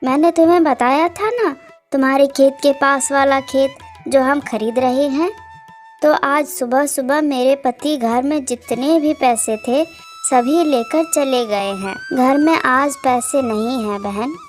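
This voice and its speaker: A woman's voice: high-pitched, measured pace, faint music behind. A woman playing a haughty, evasive village sister in a cartoon moral story, condescending and full of excuses. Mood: smug, evasive.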